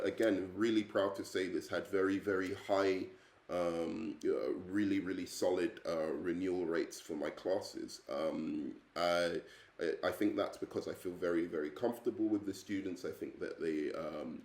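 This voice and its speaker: English man in his thirties, low voice, halting self-intro, roomy echo, earnest teacher. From England. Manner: hesitant, many ums and uhs; earnest, friendly.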